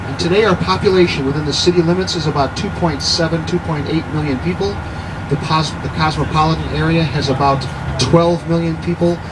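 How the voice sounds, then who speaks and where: A man's voice: on the high side, steady tone, fairly quick. A man in his sixties giving a guided city tour to a group, chatty and jokey, often quizzing his listeners. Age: 60s. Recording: noisy outdoor recording with traffic.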